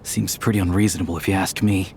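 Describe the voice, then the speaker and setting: Guarded, defensive suspect. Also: male voice, low voice, steady tone, quick pace, vocal fry, a little mellow, a little hiss or hum. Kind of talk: dramatic game dub acting.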